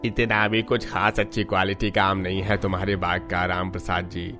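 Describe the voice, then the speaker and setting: A man voices an arrogant fruit trader from a cartoon village story. His pitch is on the low side, his manner stingy and dismissive as he haggles over light music. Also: level tone, normal speed, lightly acted. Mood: haughty, haggling. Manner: haughty, dismissive. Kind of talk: Hindi cartoon story dialogue.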